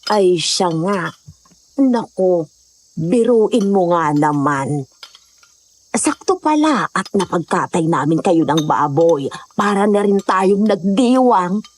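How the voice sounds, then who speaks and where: A woman playing an older, motherly mountain villager in a horror audio drama, hosting guests with unhurried, faintly eerie hospitality. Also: fairly low pitch, animated, a little mellow, a little hiss or hum.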